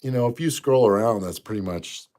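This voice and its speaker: Man in his fifties, very low voice, stuffy nose, relaxed rambling livestream chat. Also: fairly quick. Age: 50s. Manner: easygoing, rambling, trails off quietly. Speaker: livestream host. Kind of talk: casual livestream chat reading comments.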